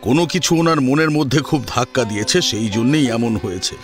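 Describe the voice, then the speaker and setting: Man acting hearty, reassuring doctor, swooping pitch. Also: animated, fairly quick, natural voice. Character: genial, reassuring male family doctor, amused and brisk. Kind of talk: Bengali audio drama acting. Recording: music under the voice.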